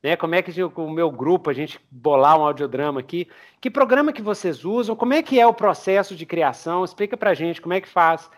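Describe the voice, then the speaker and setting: Brazilian guy hosting a podcast, kinda high voice, chatty n informal, repeats himself. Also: lively intonation, fairly quick, hardly any pauses. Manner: informal, repetitive, peppers talk with tags and English terms. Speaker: podcast host. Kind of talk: casual interview chat.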